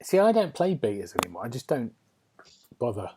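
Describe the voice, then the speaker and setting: A man's voice: mid-range voice, big pitch swings, brisk, background noise. A British man hosting a podcast, chatting casually about video games and often turning statements into questions. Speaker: podcast host.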